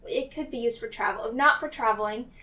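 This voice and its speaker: Woman, tabletop player, casual improvised role-play, halting, higher voice, slightly distant recording. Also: bright, forceful tone. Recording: slightly distant mic, faint noise.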